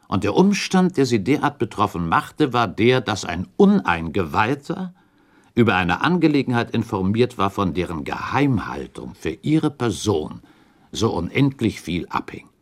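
A man's voice: fairly low pitch, sing-song, normal speed. An actor playing a shrewd, relentless accuser in a radio play, speaking formally and precisely as he argues his case. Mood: grave, accusing. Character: shrewd male accuser laying out a murder theory, methodical, relentless. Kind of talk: German radio play acting, period dialogue.

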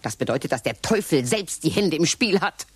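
An actress playing an eccentric, scheming witch who mutters over her potions in a radio play, her voice lurching from low grumbles to shrill highs. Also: big pitch swings, fairly quick, breathy edge, no room sound, full character voice. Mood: rattled. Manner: muttering, swooping wildly in pitch, cackling.

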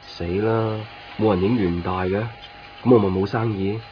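A man's voice: fairly low pitch, steady tone, moderate pace, slight background noise, natural voice. A young man with a Hong Kong Cantonese accent acting an easygoing, caring copy-shop boyfriend in a naturalistic radio drama, chatting casually. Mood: mildly chiding. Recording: dull radio-drama recording, faint music.

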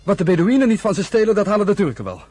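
Young migrant brother in an old radio play, emotional, raspy voice, kinda high for a man. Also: sing-song, rapid-fire, darkish tone, dry recording, exaggerated voice. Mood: bitter, disillusioned. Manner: impassioned, exclaiming. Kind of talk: Dutch radio play acting.

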